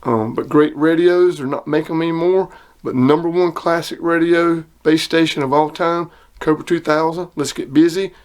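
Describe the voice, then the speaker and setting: A man's voice: on the high side, fairly even intonation, moderate pace. A man casually showing off radio gear, plain-spoken and enthusiastic, like a hobbyist hosting his own video. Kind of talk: casual product demonstration.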